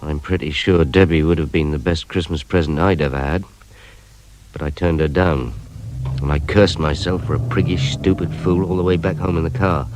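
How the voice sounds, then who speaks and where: A man's voice: rumbling low, fairly even intonation, normal speed, darkish tone, soft background music, poor audio. A man playing a wry, self-doubting police detective, confiding in a radio crime drama. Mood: brooding, wry. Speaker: narrator and lead detective character. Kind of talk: radio crime drama, first-person narration and dialogue.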